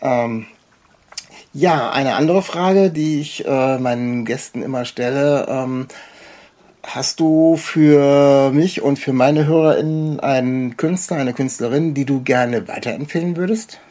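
A man's voice: medium pitch, a little slow, warm tone. A middle-aged man hosting a casual interview, rambling and hesitant as he works towards his questions. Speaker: interviewer, show host. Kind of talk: casual, unscripted interview chat.

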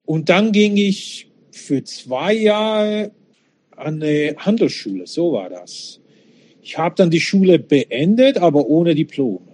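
A man's voice: fairly high pitch, lively intonation, slow pace, darkish tone. A man in his fifties from Switzerland, not a native German speaker, reminiscing casually and candidly as an interview guest. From Switzerland, born in Czechoslovakia. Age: 50s.

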